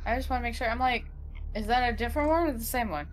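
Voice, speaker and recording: A woman's voice: fairly high pitch, fast talker, slight background noise. A woman co-hosting a casual podcast, reading out online comments and chatting in an irreverent, slangy way. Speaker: podcast co-host.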